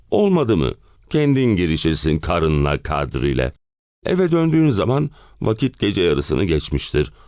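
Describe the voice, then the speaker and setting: An actor's low, gravelly, exasperated hen-pecked husband. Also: male voice, a little mellow, no echo, clean studio sound. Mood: weary sarcasm. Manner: melodramatic, self-pitying, comically exasperated. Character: exasperated, hen-pecked middle-aged husband ranting about summer-house misery. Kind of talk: theatrical one-man play monologue.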